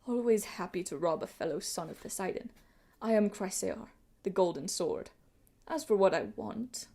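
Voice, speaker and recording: A woman voicing an arrogant, bitter golden-masked immortal pirate in an audiobook, with pompous, taunting delivery and breathy, drawn-out menace. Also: medium pitch, expressive, a bit bright, heavily acted. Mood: smug menace.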